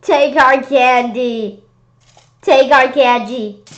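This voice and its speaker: A woman acts out a menacing storybook monster, greedy and power-mad. The delivery is loud and drawn out, pitched fairly high. The recording is dull and lo-fi. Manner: shouting, drawn-out threats.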